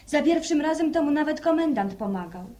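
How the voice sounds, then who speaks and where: High-voiced, firm village daughter. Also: female voice, expressive, brisk, dark tone. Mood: reasoning, firm. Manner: firm, admonishing, practical. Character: practical, firm adult daughter in a village household. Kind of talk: radio drama dialogue.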